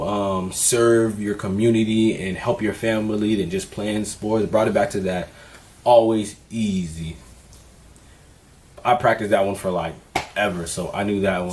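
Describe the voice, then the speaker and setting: A man in his twenties vlogging casually, candid and relaxed, with plenty of fillers as he talks through his experiences. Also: fairly low pitch. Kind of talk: casual vlog advice.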